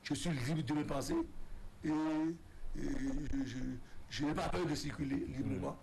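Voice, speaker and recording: A man's voice: mid-range voice, steady tone, moderate pace, a little mellow, a little hiss or hum, low-quality recording. A man from Benin, speaking as a political guest in a televised debate. He talks emphatically and declaratively, often stumbling and restarting his phrases. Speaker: political guest, resigned deputy. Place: TV studio.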